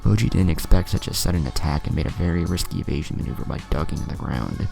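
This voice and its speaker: A man's voice: extremely low pitch, expressive, fast, gravelly, over music. A man narrating anime fan fiction as a storyteller.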